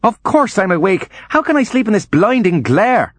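A man acts out a frail, bedridden mother in a storybook. The voice is lifted high and swings widely, crooning one moment and fretful the next. The manner is imperious and dramatic, with a delusional edge, recorded close and dry. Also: big pitch swings, moderate pace, no room sound. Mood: indignant.

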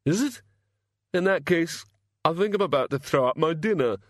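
A queasy, pitiful hangover god, voiced by a man groans his way through slow, halting sentences. The voice sits higher than the reader's own and drags on the words, and it is recorded dry and close. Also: animated, no room sound, lightly acted.

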